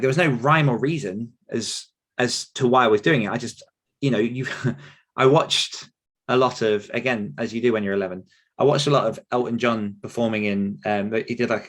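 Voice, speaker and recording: A British man in his thirties talking casually and reflectively as an interview guest, wandering as he tells his stories. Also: fairly low pitch. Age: 30s. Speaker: podcast guest, author.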